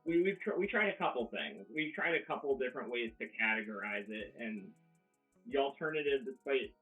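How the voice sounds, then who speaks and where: A man's voice: medium pitch, expressive, fairly quick. Brief: male band member, hesitant, phone-like lo-fi audio, faint music. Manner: hesitant, lots of restarts. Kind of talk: casual interview chat.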